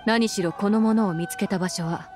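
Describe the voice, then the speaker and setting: A woman acting as a stern military commander, a woman, in an anime game. The voice is mid-pitched, formal and firm, giving orders with authority. Music runs behind it. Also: normal speed, darkish tone, lightly acted. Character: stern, dutiful female military general.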